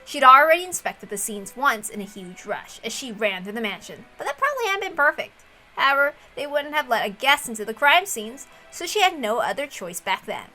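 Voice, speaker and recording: A woman reading a story aloud as narrator, in an expressive storytelling style. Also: high voice, brisk, soft background music. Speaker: reader of a visual novel.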